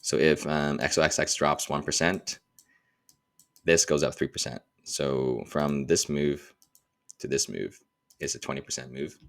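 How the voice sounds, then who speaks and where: A man giving a casual market update as a trader, talking informally and thinking aloud in a halting way as he goes. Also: a bass voice, steady tone, gravelly, a bit bright. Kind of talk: casual screen-share market commentary.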